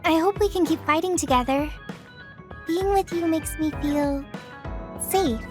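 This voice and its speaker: An actress playing a shy, devoted young soldier in a video game, sincere and bashful. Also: female voice, very high-pitched, a little slow, music in the background. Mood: fond, open. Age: young adult. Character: earnest young female soldier, shy, devoted childhood friend. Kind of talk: English video game voice acting.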